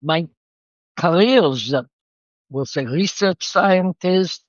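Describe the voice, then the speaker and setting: Older woman guest, unhurried reflective storyteller, slow delivery, slightly dull dry sound. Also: low voice, dry recording. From Israel. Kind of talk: interview storytelling.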